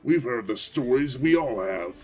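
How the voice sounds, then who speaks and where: A man's voice: medium pitch, lively intonation, moderate pace, natural voice. Blunt, defiant farmer-mayor, acted by a man. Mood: defiant, resolute. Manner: blunt, emphatic, impassioned. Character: huge, proud, defiant farmer-mayor rallying his people. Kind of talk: audio drama acting. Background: faint background music.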